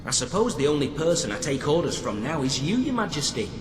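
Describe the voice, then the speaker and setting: A dutiful, eager prison guard in a video game. He is slightly awkward and deferential, in a fairly high voice that echoes around a hall with noisy ambience behind it. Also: sing-song, quick pace. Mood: eager, pleased. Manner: deferential, hesitant, earnest. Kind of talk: video game character acting.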